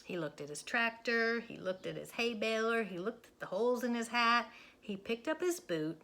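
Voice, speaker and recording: A woman reading a children's story aloud with expressive, dramatic and deliberate phrasing. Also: on the high side.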